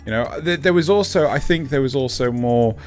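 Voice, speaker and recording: A man with a Kent English accent chats on a podcast in a mid-range voice, animated and emphatic, often checking in with "right?". Soft music plays faintly behind him. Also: medium pitch, brisk. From Wales. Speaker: podcast co-host. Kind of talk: casual chat.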